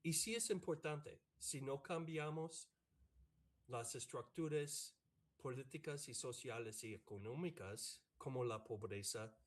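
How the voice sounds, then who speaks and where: An American man, a professor and native English speaker, answering in a panel over a video call, halting with many fillers and restarts. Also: mid-range voice. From United States. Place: online video meeting. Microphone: computer mic on a video call.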